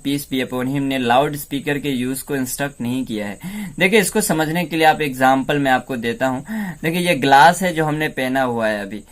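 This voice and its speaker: A man giving explanatory commentary, laying out points step by step in a didactic way and mixing in English words. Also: medium pitch. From India. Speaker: presenter of a political analysis video.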